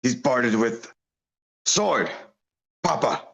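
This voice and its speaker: A man's voice: medium pitch, animated, slow pace, creaky, fairly bright tone, husky, heavily acted. A man playing a sardonic, curt ringleader of bumbling crooks in a script table read. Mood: bossy.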